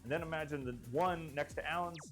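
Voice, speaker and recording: A male stream host with a slightly high voice that is turning hoarse. He rambles through jokes and restarts his sentences often. Faint music plays underneath. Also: quick pace, a bit bright. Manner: rambling, jokey, stumbling restarts. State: getting hoarse. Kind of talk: live stream game show hosting.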